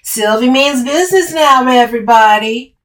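A woman's voice: on the high side, animated, slow, pitched above the performer's own voice. A woman voicing an overbearing, confrontational woman in dramatic audiobook dialogue, emphatic and bossy. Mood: taunting, angry. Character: Camille, overbearing, furious, taunting woman, bossy cousin.

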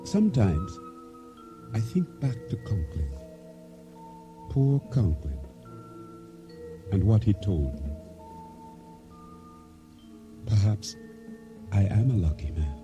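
An actor playing a reflective, humble island boatman who tells his story in a measured radio drama narration. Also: lowish voice, sing-song. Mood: wistful. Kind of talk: dramatic first-person radio play narration. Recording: radio drama with music underneath.

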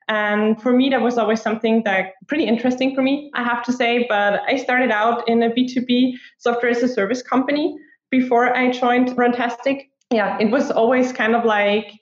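Woman, twenties, Austrian accent, higher pitch, chatty informal guest, echoey room, dull audio. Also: fairly even intonation, normal speed. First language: German. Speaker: podcast guest, app marketer. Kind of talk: conversational interview answers. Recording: muffled, roomy remote recording.

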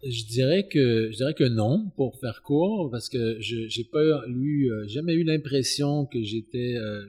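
A man answering as an expert guest in an interview, explaining in a halting, searching way and often repeating words. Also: medium pitch, darkish tone, breathy edge. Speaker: expert guest, sociology professor.

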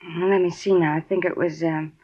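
A woman's voice: fairly low pitch, fairly even intonation, fairly quick, mellow, slightly rough. An actress playing a chatty, flustered middle-aged woman who manages an apartment building. She rambles, sounds defensive and repeats herself, in a 1950s radio drama. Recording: dull lo-fi 1950s radio broadcast.

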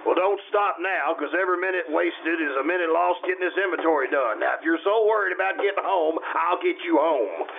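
An American man playing a grumbling, wisecracking 1950s store boss in an old-time radio style, with a creaky voice. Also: a little high, fairly quick, hardly any pauses. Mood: brisk, bossy. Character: grumbling, wisecracking male store boss stuck at work in 1952. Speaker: amateur radio troupe actor. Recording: dull, noisy old-radio style recording.

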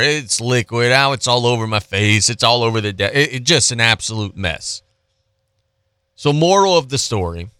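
A man hosting sports talk radio in a casual, chatty, slangy style, telling stories in an animated, repetitive way on a close studio microphone. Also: mid-range voice, no room sound.

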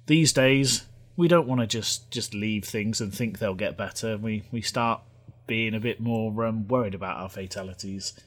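A man with a slightly croaky voice, co-hosting a podcast with halting, self-correcting talk. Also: on the low side, a bit bright. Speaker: podcast co-host. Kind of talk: casual chat.

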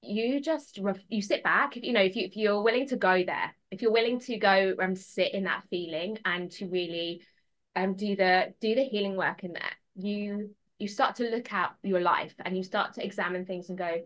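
Bright-voiced woman, chatty podcast guest. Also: medium pitch, normal speed. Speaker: podcast guest, personal transformation mentor. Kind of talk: casual conversational interview.

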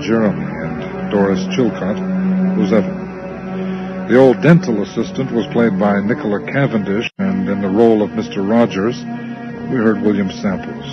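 Low guy announcer doing radio show credits, kinda muffled, music blasting behind. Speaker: radio series host reading credits. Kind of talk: scripted broadcast narration, closing credits. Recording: dull old broadcast under loud music. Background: loud music.

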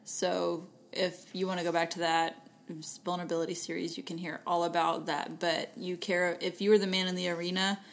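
A woman with a Texan accent, co-hosting a casual faith-based podcast in a lively, playful way, with quick asides. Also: lowish voice. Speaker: podcast co-host.

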